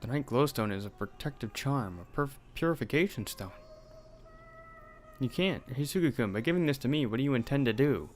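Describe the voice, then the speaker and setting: A man's voice: medium pitch, expressive, brisk, soft background music. A young man hosting a let's play, reading out a horror game's dialogue with some drama and swearing in asides. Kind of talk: reading game text aloud with commentary.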